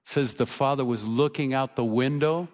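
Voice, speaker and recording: Older pastor preaching in an echoing hall. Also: male voice, mid-range voice, moderate pace. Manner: earnest preaching, rising emphasis, with soft storytelling asides. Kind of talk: sermon. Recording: reverberant church hall.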